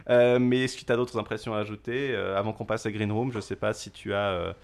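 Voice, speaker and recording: Young male host, lowish voice, joking, rambling with restarts. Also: fairly even intonation, fairly quick. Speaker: podcast host. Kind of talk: casual chat.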